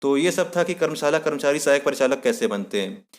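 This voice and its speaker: A man's voice: medium pitch, lively intonation, a little fast. Man explaining step by step, tutorial style. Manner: didactic, repeats points, asks and answers own questions. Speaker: presenter of an exam-guidance lesson. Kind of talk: explanatory tutorial addressed to viewers.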